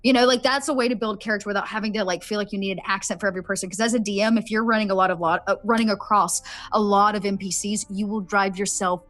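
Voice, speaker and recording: A woman's voice: mid-range voice, steady tone, fast, hardly any pauses, fairly bright tone. A woman player chatting casually and sharing roleplay advice, filling her talk with "like" and "you know". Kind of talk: casual chat giving tips.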